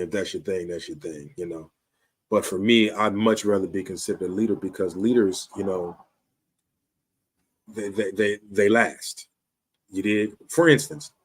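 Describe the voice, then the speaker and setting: A Chicago man in his fifties talks to his viewers in a loose, conversational way. His voice sits a little low, and he circles back, repeats himself and sprinkles in street slang as he works through his point. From Chicago, USA. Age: 50s. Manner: laid-back street slang, frequent "you dig?" and restarts. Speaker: show host. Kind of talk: casual talk to camera.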